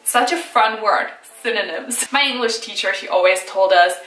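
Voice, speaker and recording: Upbeat young woman vlogging, bright voice, says like a lot, a bit far from the mic in a roomy space. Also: mid-range voice, moderate pace. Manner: chatty, upbeat, lots of "like" and "so normal". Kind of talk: casual chat to camera. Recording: echoey room, slightly far from mic, light music.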